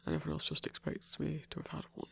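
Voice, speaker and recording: A man playing a shy, gentle lone werewolf hunter in an intimate audio roleplay, speaking haltingly with stammers in a breathy voice. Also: on the high side, completely flat delivery, full character voice. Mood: hesitant, awkward. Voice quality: breathy, husky.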